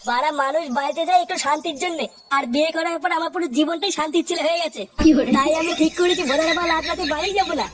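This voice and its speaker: A performer with a pitched-up voice plays a grumpy, foul-mouthed married man in a crude comedy cartoon. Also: fairly even intonation, racing. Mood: grumbling. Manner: coarse, swearing, complaining.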